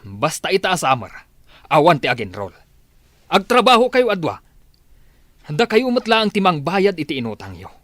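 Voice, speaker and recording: A man's voice: a little high, wildly swooping pitch, normal speed, long pauses, a bit bright, no room sound. A man playing an exasperated farmer father in a radio drama, scolding emphatically.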